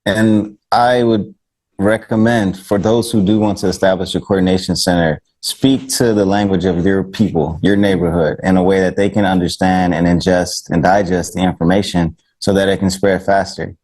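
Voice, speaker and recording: A man's voice: sits low, steady tone, normal speed, darkish tone, rough recording. A man giving casual advice as a panel guest, using laid-back slang and frequent conversational tags. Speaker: panel guest, recording artist.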